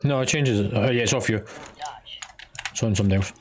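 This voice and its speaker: A man's voice: lowish voice, fast, crowd noise. Scottish man leading an online game raid. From Scotland. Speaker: raid leader and streamer. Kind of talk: instructing teammates during online game.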